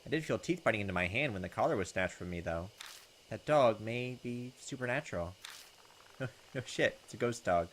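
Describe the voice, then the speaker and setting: A man hosting a gaming video, reading visual novel text aloud and adding jokey, expressive, sometimes profane commentary. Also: fairly low pitch, fairly quick, slight background noise. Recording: loud game music behind the voice. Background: game soundtrack.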